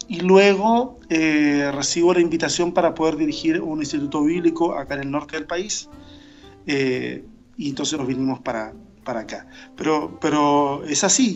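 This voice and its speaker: A man with a fairly high voice, talking as a radio guest, thoughtful and full of hesitations and restarts. His voice comes over a dull, lo-fi remote line. Soft music plays faintly underneath. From born in Argentina, raised in Chile. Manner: reflective, many fillers and restarts. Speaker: pastor and interview guest. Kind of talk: casual interview over a remote call.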